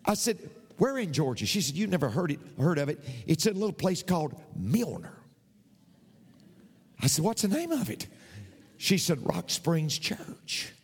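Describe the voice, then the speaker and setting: Animated preacher in a hall. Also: male voice, on the high side, normal speed, slightly rough. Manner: animated, builds to shouted preaching. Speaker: pastor. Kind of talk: sermon storytelling. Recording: long reverb of a hall.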